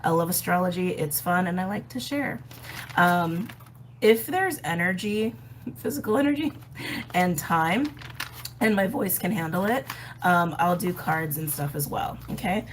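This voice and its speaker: Raspy-voiced woman in a chatty astrology talk. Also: on the low side, lively intonation, measured pace, a little hiss or hum. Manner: chatty, with frequent ums and light laughs. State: hoarse, recovering from a respiratory illness. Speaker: astrology and tarot channel host. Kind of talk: casual explanatory astrology talk.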